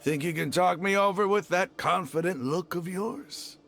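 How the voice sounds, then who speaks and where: An actor playing a proud, hostile, zealous desert mercenary leader in a video game, scornful and grandiose in a theatrical way. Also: male voice, sits high, normal speed, vocal fry, a bit bright. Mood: suspicious, challenging. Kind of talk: dramatic video game voice acting. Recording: background music under the voice.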